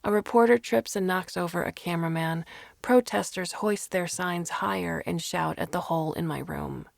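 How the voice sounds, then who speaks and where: A woman reading a first-person short story as narrator, in a dramatic, expressive manner. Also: fairly low pitch, moderate pace, vocal fry, no room sound, studio quality.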